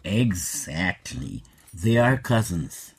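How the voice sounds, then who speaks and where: Man, sixties, English teacher, slow patient explaining, scripted lesson. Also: deep voice, big pitch swings, husky. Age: 60s.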